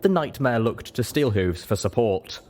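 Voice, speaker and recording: A man's voice: mid-range voice, lively intonation, moderate pace, darkish tone. A man reading scripted first-person story narration as an audiobook-style narrator. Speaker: narrator of a fan fiction reading. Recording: faint background noise.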